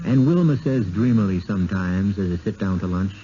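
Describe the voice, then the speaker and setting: A man with an American accent hosting and narrating a scripted radio mystery in a measured, theatrical manner. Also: low-pitched, noisy background. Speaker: host and narrator. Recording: muffled vintage radio broadcast. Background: music.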